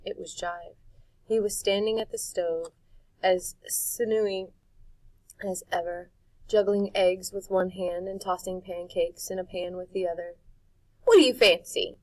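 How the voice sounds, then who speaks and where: Audiobook reader, woman, calm measured narration, lower pitch, dry close sound. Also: dry recording, poor audio. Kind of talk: storybook narration.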